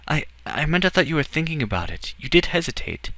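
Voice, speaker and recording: A man's voice: fairly high pitch, big pitch swings, fairly quick, bright, forceful tone, dry recording, voice pushed up, exaggerated voice. A man voicing an anxious, earnest boy, hesitant yet defiant, in a storybook reading. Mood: nervous. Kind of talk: audiobook character acting.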